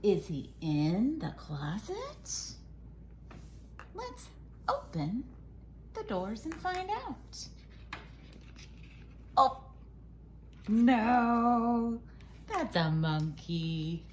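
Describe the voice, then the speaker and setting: Female educator for children's read-aloud. Higher-pitched, sing-song and exaggerated, very unhurried delivery, over a noisy background. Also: big pitch swings. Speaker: educator reading a picture book aloud. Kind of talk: animated children's read-aloud, modeling core words. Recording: loud noise behind the voice.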